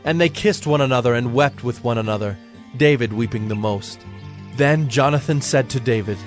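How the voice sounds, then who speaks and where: A man's voice: mid-range voice, normal speed, faint music behind. A man narrating a dramatized Bible story from a script.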